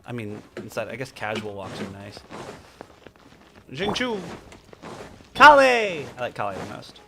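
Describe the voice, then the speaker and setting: A man with a bright, forward voice streams casual game commentary. He rambles freely, talks back to the characters and leans theatrical. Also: mid-range voice, huge pitch swings, unhurried, gravelly, a little hiss or hum. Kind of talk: casual running commentary over game dialogue.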